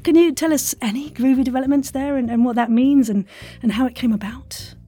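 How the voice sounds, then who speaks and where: Woman, interviewer, soft mellow tone, dry close sound, playful, effusive, casual chat. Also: high-pitched, fairly even intonation, brisk, dry recording, faint music behind. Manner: playful, effusive, quirky slang. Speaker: podcast host, interviewer.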